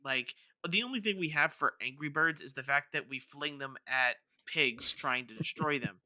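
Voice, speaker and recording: A man talks casually as a podcast co-host, in a somewhat high, very bright voice with a dry, close sound. He thinks aloud, often hesitating and repeating his opening words. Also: moderate pace, no echo. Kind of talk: casual chat, debating video game movies.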